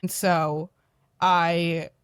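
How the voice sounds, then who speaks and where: Loud, animated young woman guest. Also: on the low side, drawn-out words, smooth, no room sound, clean studio sound. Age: young adult. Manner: loud, animated, self-deprecating. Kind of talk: candid podcast conversation.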